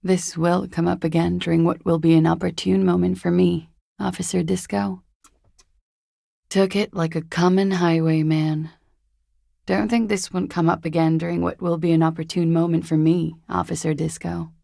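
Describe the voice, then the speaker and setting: Casting: a secretive young female disco dancer in a game, coy and understated, very gravelly fry, close dry sound. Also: fairly low pitch, little pitch movement, normal speed, dark tone, no room sound. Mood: wry, teasing. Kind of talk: video game voice acting.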